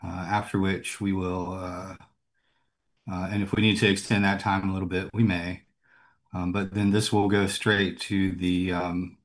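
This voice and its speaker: A man moderating an online meeting, speaking hesitantly with many filler words. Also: deep voice.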